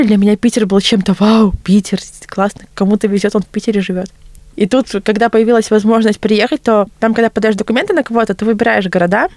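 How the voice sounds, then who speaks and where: A woman in her twenties tells her own story in a conversational interview. Her pitch is medium and her manner chatty, with some self-irony. The recording is dry and close, with no room around the voice. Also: a little mellow, dry recording. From Latvia. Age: 20s. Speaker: interview guest.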